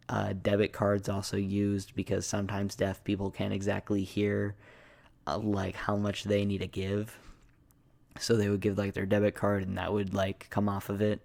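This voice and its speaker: A young man rambling in a casual, hesitant, meandering way in a soft-spoken ASMR style. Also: fairly low pitch, creaky, no room sound. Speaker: ASMR video creator.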